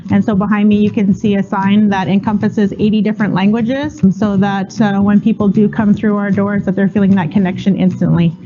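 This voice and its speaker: A woman's voice: mid-range voice, fairly even intonation, brisk, few pauses, dark tone, a little hiss or hum. A woman who is a school principal, giving an earnest, grateful address to her community. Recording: dull lo-fi sound.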